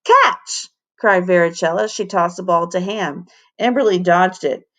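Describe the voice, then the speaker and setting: A woman narrating a children's story as a teacher reading aloud, animated and dramatic. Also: fairly low pitch, normal speed.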